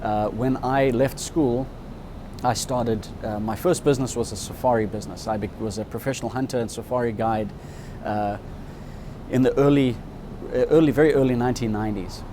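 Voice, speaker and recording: A candid man in his fifties recounts his past in a thoughtful, earnest way. His voice sits at an ordinary middle pitch. A steady rumble of outdoor traffic hums clearly behind him. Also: moderate pace. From Zimbabwe. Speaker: interviewee, subscriber. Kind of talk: personal storytelling in an interview.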